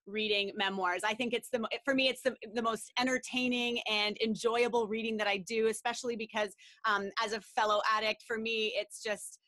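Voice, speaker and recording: A woman's voice: on the high side, steady tone, fairly quick, few pauses, sharp tone, dry recording. A woman hosting an interview, encouraging her guest with affirming remarks. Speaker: interview host.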